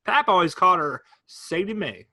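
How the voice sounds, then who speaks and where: A man's voice: high-pitched, lively intonation, moderate pace, pushed and bright, exaggerated voice. A man playing an easygoing middle-aged farmer uncle who teases in a wry way. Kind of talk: staged play reading.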